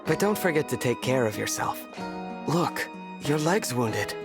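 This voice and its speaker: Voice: mid-range voice, big pitch swings, measured pace, over music. An actor voicing an earnest, kind young dragon royal in a video game cutscene. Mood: gentle, caring.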